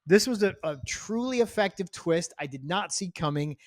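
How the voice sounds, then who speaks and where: A man's voice: high-pitched, expressive, moderate pace, no room sound, studio quality. A man co-hosting a casual TV rewatch podcast, chatting in a jokey way and swearing casually. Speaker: podcast co-host. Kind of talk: casual chat, TV rewatch review.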